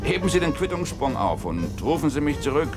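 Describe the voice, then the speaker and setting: An actor playing a dogged, soft-hearted middle-aged police detective in a radio drama, earnest and insistent. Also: male voice, on the high side, big pitch swings, brisk, over music. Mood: brisk, insistent. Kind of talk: German radio drama acting.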